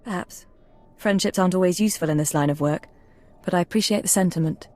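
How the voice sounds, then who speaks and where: An actress playing a professional intelligence analyst in a video game, earnest and reserved, with a measured, formal delivery. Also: female voice, fairly low pitch, a little mellow, soft background music, lightly acted. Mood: candid, subdued.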